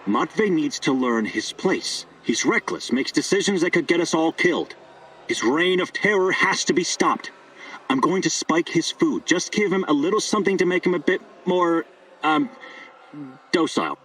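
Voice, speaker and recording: A man's voice: a little high, animated, normal speed, a bit bright, breathy edge, recorded from a distance, poor audio. An actor playing a scheming, persuasive male doctor in a video game, earnest and wheedling with hesitant turns. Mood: self-righteous. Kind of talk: video game dialogue acting.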